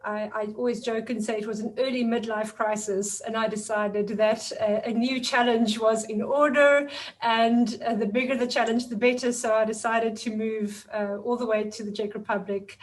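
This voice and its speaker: A woman in her forties, a guest lecturer speaking in a thoughtful, measured way with some fillers. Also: fairly high pitch, little pitch movement. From South Africa. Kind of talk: webinar discussion.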